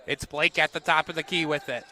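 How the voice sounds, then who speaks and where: Male sports radio play-by-play caller, higher-pitched, bright and punchy, basketball bouncing behind. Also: steady tone, brisk, slight background noise. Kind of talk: live basketball radio commentary. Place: indoor basketball game.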